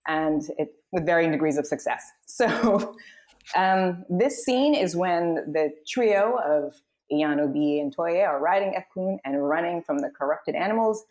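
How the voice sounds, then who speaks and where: Woman host, slightly low voice, narrating scripts at a live table read. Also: unhurried, a little mellow.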